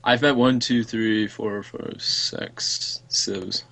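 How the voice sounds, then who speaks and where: A man's voice: lowish voice, fairly even intonation, extremely slow, vocal fry, fairly bright tone, slight background noise. A young man streaming a strategy game, chatting casually and rambling with the odd swear. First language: English. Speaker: let's play streamer. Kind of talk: casual gaming chat.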